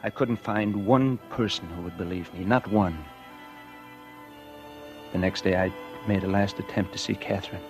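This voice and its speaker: A man's voice: low voice, fairly even intonation, normal speed. An actor playing a guilt-ridden murderer who narrates his own downfall in a 1940s radio drama. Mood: despairing. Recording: 1949 radio recording with music.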